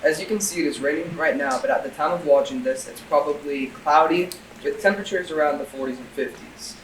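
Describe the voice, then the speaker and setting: A teenage boy giving the school weather report outdoors in the rain in a steady, scripted way. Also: a little high, fairly quick, echoey room, recorded from a distance, slight background noise, rough recording. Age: teens.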